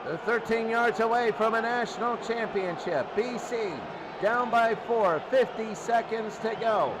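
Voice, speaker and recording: A man doing excitable play-by-play commentary on American football, repeating phrases for emphasis. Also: high voice. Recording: loud crowd and ambient noise behind.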